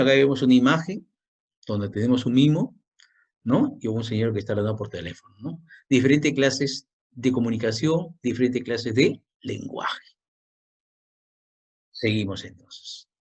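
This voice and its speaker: A man's voice: mid-range voice, expressive, measured pace, pauses often, a little mellow, breathy edge. A male teacher giving a language lesson, repeating key points and quizzing the student with questions. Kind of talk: classroom lecture.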